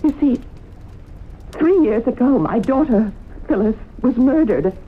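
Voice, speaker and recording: An actress playing an anxious, bereaved mother in a 1970s radio drama, earnest, halting and imploring. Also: fairly high pitch, animated. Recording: narrow-band, noisy old radio recording.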